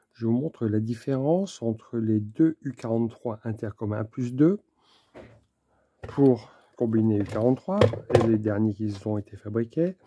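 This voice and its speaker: A man presenting an unscripted technical demonstration, speaking methodically in short, halting phrases. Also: mid-range voice, dark tone, no room sound. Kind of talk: unscripted hands-on explanation. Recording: clear background noise with clicks.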